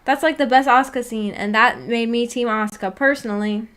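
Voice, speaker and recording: A woman with a fairly high voice chats casually as a livestream host. She is giggly and playfully teasing, quick to soften things with an apology. Her talk rambles and halts, sprinkled with "like" as she thinks aloud. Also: fairly even intonation. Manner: rambling, halting, filler-heavy talk with frequent "like". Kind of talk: casual livestream chat with viewers about anime.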